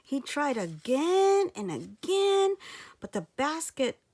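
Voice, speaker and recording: A woman narrates a children's story in a high voice. Her intonation swings widely and expressively. She takes her time over each phrase as she reads aloud. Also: very animated, takes it slowly, a little mellow. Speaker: storybook reader.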